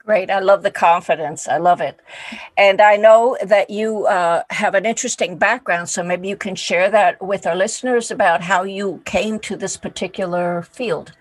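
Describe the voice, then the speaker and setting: A woman's voice: medium pitch, normal speed, no echo. A woman hosting a podcast interview, speaking in an encouraging way with frequent "uh"s. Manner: warmly encouraging, with filler "uh"s. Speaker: podcast host. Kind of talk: interview chat.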